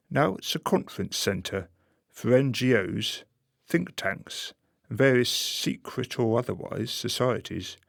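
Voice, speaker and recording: A slow, gravelly, confiding narrator. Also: male voice, medium pitch, no echo, studio quality. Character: sly, confiding narrator of a satirical radio play. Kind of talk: scripted dramatic narration.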